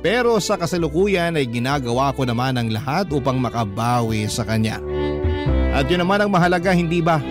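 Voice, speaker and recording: A man with a Filipino accent reading a listener's letter as dramatic scripted radio narration. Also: mid-range voice. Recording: clear music bed behind narration.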